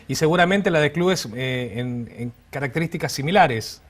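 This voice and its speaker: Male Argentine co-host, slightly high register, conversational interviewing. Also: normal speed.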